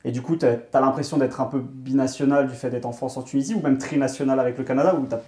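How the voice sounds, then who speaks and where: A man hosts the interview at a brisk pace. He asks clear, steady questions and recaps what his guest says. His tone stays easy and friendly. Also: mid-range voice.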